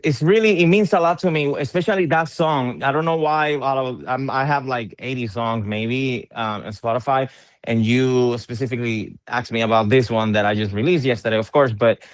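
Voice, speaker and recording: A man's voice: medium pitch, dry recording. A middle-aged man from Puerto Rico, an interviewed musician, talking in a casual conversation, hesitant and full of fillers and repeated words.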